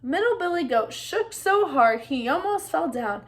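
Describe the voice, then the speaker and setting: Woman doing a kids story read aloud, pretty high voice, talks to the listeners. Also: expressive, measured pace. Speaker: children's story reader.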